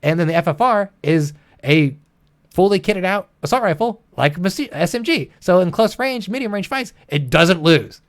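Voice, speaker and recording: A man's voice: high-pitched, lively intonation, normal speed. A man co-hosting a gaming livestream, chatting casually with an exasperated, sweary, ranting manner.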